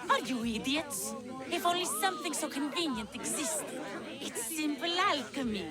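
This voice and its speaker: An actress playing a smug, scheming young poisoner in a video game cutscene, mocking and condescending. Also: female voice, sits high, lively intonation, normal speed, over a noisy crowd. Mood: smug contempt. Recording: music under the voice.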